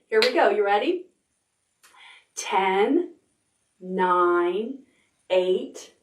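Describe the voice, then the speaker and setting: Woman, children's librarian, upbeat and encouraging storytime read-aloud; distant camera mic in an echoey room. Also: medium pitch. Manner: cheerful, slow and encouraging, talking to small children. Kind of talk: preschool storytime, reading aloud and counting with kids. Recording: roomy sound from away from the camera mic.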